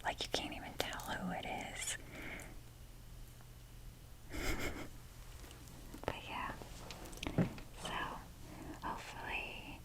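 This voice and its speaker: Woman whispering slow, casual ASMR rambles. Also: poor audio. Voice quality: soft, breathy whisper. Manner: chatty, self-deprecating, mildly sweary. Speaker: ASMR video creator.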